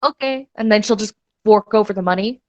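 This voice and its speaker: A woman's voice: mid-range voice, a little fast, a little mellow, no room sound, dull audio. A woman chatting casually with friends, laughing often and trying out silly phrases. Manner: giggly, puts on mock Spanish phrases. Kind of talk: casual banter.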